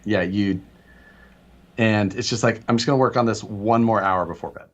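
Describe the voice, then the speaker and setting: A man's voice: on the low side, moderate pace, muffled recording. A man with a nasal voice in casual live-stream chat as a guest, rambling and laughing often.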